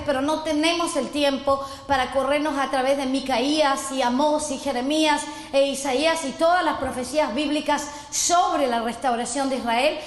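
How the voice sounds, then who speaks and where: A middle-aged woman from Chile giving an earnest sermon lesson, reading scripture and asking listeners to repeat after her. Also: high-pitched. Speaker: messianic apostle teaching. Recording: echoey hall, roomy long reverb.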